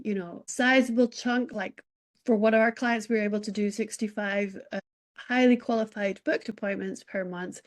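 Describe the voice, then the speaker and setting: A middle-aged woman with a Scottish accent, a podcast guest talking in a lively, chatty way with lots of "you know". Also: on the high side. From Scotland.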